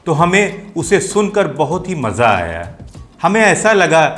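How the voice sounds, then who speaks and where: A man's voice: fairly high pitch, huge pitch swings, a little slow, fairly bright tone. A man hosting and explaining things to viewers in video commentary. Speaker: host of a film music show. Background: music playing behind the voice.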